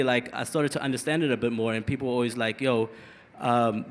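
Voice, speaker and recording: A man born in South Africa and raised partly abroad, telling a story on a live panel with energetic, quick bursts. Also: medium pitch. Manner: energetic, fast bursts, many fillers. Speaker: panel guest. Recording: long hall reverb, faint room noise.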